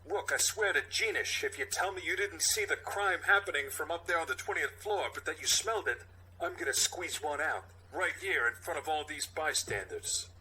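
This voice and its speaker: Man acting out angry buddy cop, crude, indignant, menacing, pitched up and pushed, mock TV drama. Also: lively intonation, moderate pace, sharp tone, a little hiss or hum, low-quality recording. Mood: indignant threatening. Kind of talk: mock cop show vignette read on a podcast.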